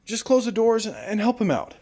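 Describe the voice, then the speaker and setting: A man's voice: high-pitched, very animated, moderate pace, darkish tone. A man playing a chatty, stammering, friendly radio host in a post-apocalyptic audio drama. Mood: upbeat, chatty. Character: nervous, friendly male radio show host after the apocalypse.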